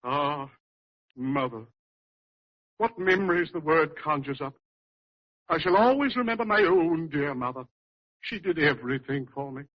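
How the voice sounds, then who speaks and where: A courtly, florid uncle from a vintage radio comedy. He speaks in a fairly high male voice with sentimental, self-important flourish. The old recording is muffled. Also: lively intonation, a little slow, long pauses. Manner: pompous, flowery. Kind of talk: 1940s radio sitcom acting.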